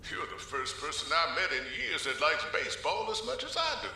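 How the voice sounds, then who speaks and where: A very echoey, slightly distant game recording of a kindly older American man. His voice is sharp and bright with a gravelly edge. He speaks in a folksy, courtly way. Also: a little high, sing-song, brisk, exaggerated voice. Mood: warm reminiscing. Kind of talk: video game cutscene acting. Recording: very echoey, distant, faint noise.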